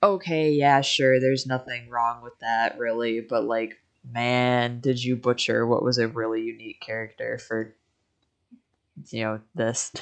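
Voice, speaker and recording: Very low-voiced woman chatting about games. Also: flat delivery, unhurried. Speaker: game streamer. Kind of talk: casual chat commenting on a video game.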